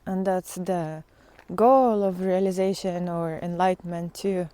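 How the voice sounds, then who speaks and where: A woman giving a casual, unscripted spiritual monologue in a reflective, halting way, often trailing into pauses. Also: fairly low pitch, steady tone, dark tone. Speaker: spiritual teacher vlogger.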